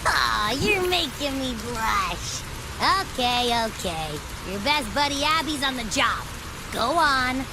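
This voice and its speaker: A tiny, cheeky creature sidekick from a video game. Her voice is high and swings about expressively, with an eager, childlike playfulness. Clear ambient noise sits behind it. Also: expressive, slow pace, full character voice. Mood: bashful, eager. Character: small cute female creature companion, sleepy, cheeky, eager scent-tracker.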